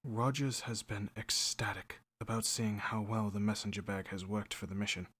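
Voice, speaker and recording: A man plays a composed steward in an audio drama. His voice sits a little low, and he talks like an official, measured and formal with a dry, wry edge. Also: steady tone, a bit bright, husky. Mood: matter-of-fact. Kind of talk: audio drama narration and acting.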